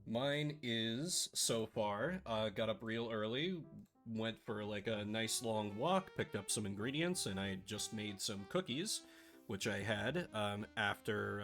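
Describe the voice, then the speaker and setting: A man chats on his live stream in a lively, bouncing voice that is a little rough and scratchy today. He talks in a playful, sarcastic way. Faint music plays behind him. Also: on the low side, measured pace. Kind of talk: casual live-stream chat.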